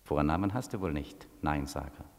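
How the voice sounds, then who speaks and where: A mysterious disembodied questioner in an old radio play, calm and probing. The voice is very deep and gravelly. It hangs in a long hollow reverb. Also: male voice, moderate pace. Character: mysterious probing voice, calm spectral companion of a despairing man. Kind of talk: 1940s German radio play acting.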